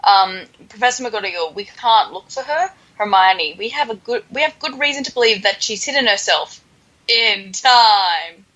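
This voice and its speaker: A woman's voice: mid-range voice, lively intonation, normal speed, bright, forceful tone, lo-fi sound. A woman co-hosting a podcast in casual comedic chat, with a playful, mock-dramatic sarcasm. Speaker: podcast co-host.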